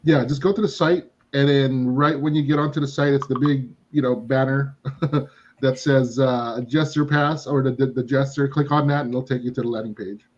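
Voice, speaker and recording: Lo-fi, muffled audio; male, medium pitch, casual livestream delivery. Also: fairly even intonation, normal speed, a little mellow. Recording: dull lo-fi microphone sound.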